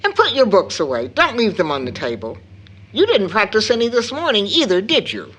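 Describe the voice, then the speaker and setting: An actress playing a fond, tired, gently chiding mother in an audio drama, with a measured way of talking. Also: mid-range voice, huge pitch swings, slight background noise. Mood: brisk chiding. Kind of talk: stage-play audio drama acting.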